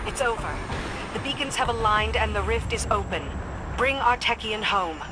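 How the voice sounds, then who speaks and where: An actress voicing a calm female mission handler who gives crisp, businesslike briefings. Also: fairly high pitch, normal speed, noisy background, natural voice. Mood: urgent. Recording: game dialogue over loud music.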